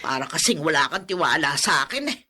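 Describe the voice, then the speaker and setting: A woman's voice: low voice, expressive, fast talker, sharp tone, slightly rough, full character voice. A woman acting a fussy, middle-aged aunt in a radio drama, exclaiming and nagging in a melodramatic way. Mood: exasperated scolding. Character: scolding older aunt, fussy and quick to complain.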